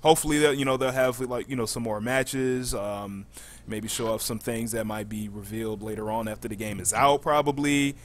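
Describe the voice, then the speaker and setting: A man hosting a casual gaming community chat, talking in an informal, halting way. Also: mid-range voice, fairly even intonation. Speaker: podcast host. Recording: clearly noisy background.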